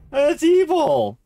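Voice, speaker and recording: A man's voice: extremely high pitch, gravelly, darkish tone. A middle-aged man giving casual, rambling, easily amused commentary as he plays a game. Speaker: gamer playing through a game.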